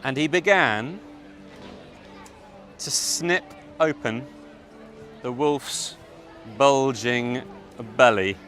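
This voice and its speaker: A man narrating a fairytale live to children, theatrical and deliberate, with dramatic pauses. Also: medium pitch, a bit bright, faint music behind, some chatter behind. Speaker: storyteller narrator. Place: outdoor public event.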